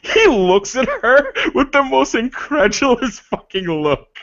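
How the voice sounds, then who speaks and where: A man's voice: very high-pitched, wildly swooping pitch, measured pace, a bit bright, no echo, highs cut off. A man casually narrating a character's actions in a tabletop roleplaying session. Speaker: roleplay game player narrating his character.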